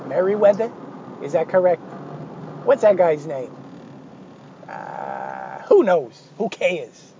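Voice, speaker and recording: A man with a hoarse, failing voice talking through an art lesson, digressive and hesitant with many fillers and sardonic asides. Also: on the high side, very animated, takes it slowly, long pauses, warm tone, muffled recording, slight background noise. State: hoarse, losing his voice. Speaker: art instructor. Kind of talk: rambling spoken art lesson.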